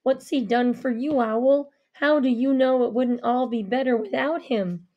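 A woman voicing a guarded, dismissive young man in a story, pitched lower than her narration. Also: unhurried, dull audio.

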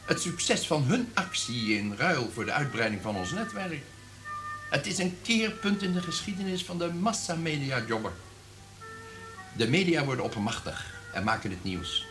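An actor playing a scheming, grandiose radio director with a commanding manner in a radio play. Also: male voice, mid-range voice, lively intonation, moderate pace, music in the background, close to an everyday voice.

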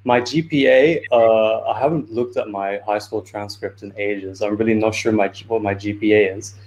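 A man's voice: lowish voice, steady tone, moderate pace, a little mellow, low-quality recording. A man in his twenties from Turkey, speaking informally and a little hesitantly as a student presenter on a video call. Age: 20s. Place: indoor room on a video call. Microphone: video call microphone.

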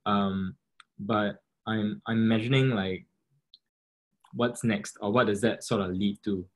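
A man's voice: on the low side, steady tone, a little slow. A man on a video call asking a question, tentative and full of fillers. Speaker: audience member asking a question. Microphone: laptop video call.

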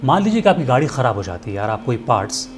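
A man's voice: medium pitch, big pitch swings, fast. A middle-aged man, presenting a scripted address to camera in an earnest, persuasive manner rhetorical questions. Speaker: filmmaker and storyteller presenting a commentary segment. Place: indoor studio set. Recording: music bed underneath.

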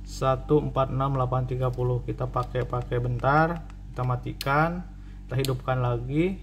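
A man's voice: mid-range voice, steady tone, moderate pace. Man, casual tutorial, noisy hum behind. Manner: repeats and rephrases as he explains. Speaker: repair technician presenting a tutorial. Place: indoor cluttered repair workbench.

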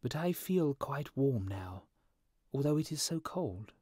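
An eager little swallow with a high, lilting voice, put on by a man reading a storybook. He sounds good-hearted and earnest, and the read is close-miked and dry. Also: big pitch swings, a little slow, warm tone, slightly rough, dry recording. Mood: puzzled.

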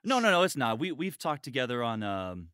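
A man hosts a casual podcast in a bright, forceful voice, recorded dry and close. He is chatty and excitable and swears freely. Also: medium pitch, a little fast, smooth, dry recording. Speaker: podcast host, voice actor. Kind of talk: casual chat, hosting an online podcast.

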